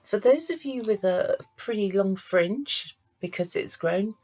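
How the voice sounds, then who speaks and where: Slow, halting woman reading cards. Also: mid-range voice, animated, darkish tone. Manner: halting, searching, pauses to sense impressions. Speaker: psychic medium. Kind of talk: monthly astrology and card reading to camera.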